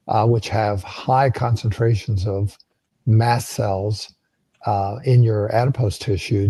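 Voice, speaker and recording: A man losing his voice and sounding gravelly, explaining physiology in a live Q&A with frequent ums and uhs. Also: fairly low pitch, dark tone, no room sound. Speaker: co-host answering health questions.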